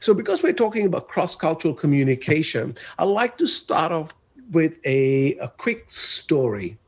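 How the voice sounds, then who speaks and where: A man in his sixties, originally from Malaysia, talks in a fairly high voice for a man. He presents to a webinar audience as a guest coach, unhurried and conversational, dropping in the odd "uh". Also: big pitch swings. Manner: conversational, with occasional "uh". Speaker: guest speaker, cross-cultural coach. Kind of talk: presentation with personal storytelling.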